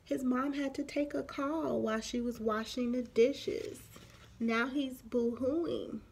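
A woman in her thirties reading a picture book aloud expressively for young children, pausing for explanatory asides. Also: high-pitched, fairly even intonation, a little mellow, a little hiss or hum. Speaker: children's story reader. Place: small room at home, indoors.

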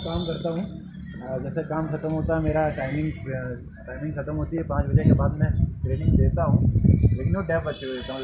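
A man in his thirties, a visiting coach, gives an informal encouraging speech with hesitant pauses and repeated words. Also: fairly high pitch. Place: outdoor school sports ground. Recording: very noisy outdoor phone video.

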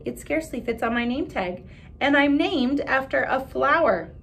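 Lady doing a sensitive little mouse girl from a picture book, high and earnest, easily crushed, slight background noise. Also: moderate pace. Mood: miserable. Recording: steady background noise with low rumble.

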